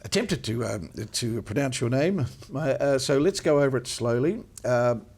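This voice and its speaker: A man's voice: mid-range voice, expressive, moderate pace, gravelly, a little mellow, breathy edge. An older man hosting a television interview, chatty and encouraging, with frequent short yeahs and wows. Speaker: TV show host. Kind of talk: casual TV interview chat. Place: TV studio set, seated at desk. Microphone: lapel mic.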